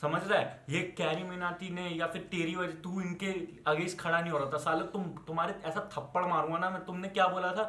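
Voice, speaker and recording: High-pitched angry young Indian man, echoey room. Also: flat delivery, brisk, hardly any pauses, sharp tone. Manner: scolding, confrontational, swearing, rhetorical questions; switches between Hindi and English. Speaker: online commentator. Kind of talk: angry unscripted rant to viewers.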